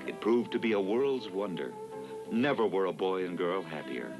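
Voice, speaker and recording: A man narrating a children's fairy tale in a dramatic, measured storytelling style. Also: low-pitched, animated, over music.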